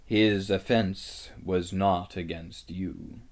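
Regal lion, acted by a man for a storybook: low, very slow, grave, quietly commanding growl. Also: fairly even intonation. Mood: calm, firm. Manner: grave, measured, quiet authority. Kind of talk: dramatic storybook reading.

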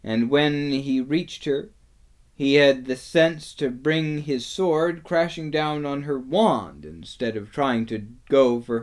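A man's voice: medium pitch, close to an everyday voice. Man reading as stern young warrior lad in a storybook, earnest n emphatic, voice a bit raised.

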